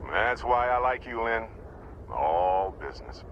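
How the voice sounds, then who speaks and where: A man's voice: on the low side, big pitch swings, unhurried, dull audio, heavy background noise. A man playing a jovial, roguish space explorer in a video game, breezy, teasing and theatrical. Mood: breezy, probing.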